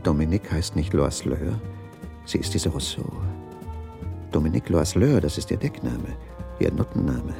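An obsessive, embittered husband in a crime radio play. His voice is deep and creaky and swings widely in pitch, with dramatic intensity. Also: animated, normal speed, pauses often, over music, heavily acted. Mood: bitter realization. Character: bitter, obsessive husband turned strangler in a crime story. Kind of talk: 1980s German radio crime drama acting.

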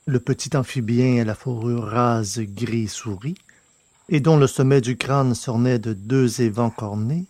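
A man with a slightly husky voice reading scripted fiction as an audiobook narrator. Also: mid-range voice, a little slow, a little mellow, dry recording.

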